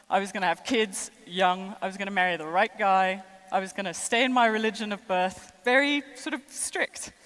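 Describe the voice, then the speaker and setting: A woman's voice: mid-range voice, a bit bright. Woman keynote speaker, thirties, French-tinged international accent, conversational and self-mocking, long hall reverb. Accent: mixed international, French and American traces. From born in the United States, raised in six countries. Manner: chatty and self-deprecating, with light laughs and fillers. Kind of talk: conference keynote speech. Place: large conference hall.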